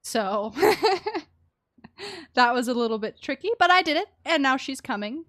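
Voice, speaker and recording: A woman game streamer giving casual, chatty live commentary with an animated, empathetic manner. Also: sits high, unhurried, no echo, studio quality. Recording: game music behind the voice.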